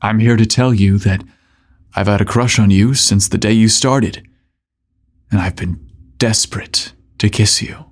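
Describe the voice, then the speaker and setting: A man voicing a confident, tender office hunk in love in an audio romance story, seductive and deliberate. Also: fairly low pitch.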